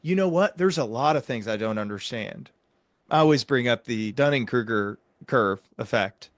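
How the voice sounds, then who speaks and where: Male podcast host, mid-pitched, earnest and reflective explainer, dry sound. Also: lively intonation, moderate pace, no echo. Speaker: psychiatric doctor. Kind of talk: conversational explaining.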